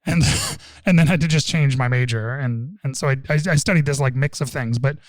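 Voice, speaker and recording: A man from suburban Toronto speaking as a podcast guest, relaxed and wry, with many restarts. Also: medium pitch, fairly quick, dry recording. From Toronto suburbs, Canada. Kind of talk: casual interview talk.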